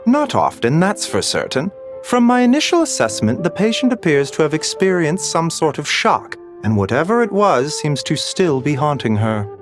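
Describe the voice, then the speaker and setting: A man playing a courteous, gentle physician in a video game, with a measured way of talking. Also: on the high side, big pitch swings, music in the background. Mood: calm, courteous. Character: Doctor Baizhu, gentle, unhurried, courteous male physician.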